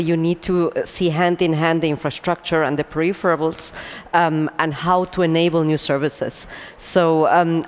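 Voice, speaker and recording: A panelist from Ecuador giving a measured, considered answer in a reverberant hall. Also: mid-range voice. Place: large hall, panel stage. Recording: echoey hall with long reverb.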